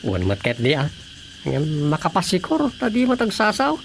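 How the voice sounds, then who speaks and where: A man's voice: on the high side, huge pitch swings, normal speed, gravelly, a little hiss or hum, heavily acted. A man with an Ilocano accent acting a grumbling, put-upon village councilman in a radio drama comedy. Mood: exasperated.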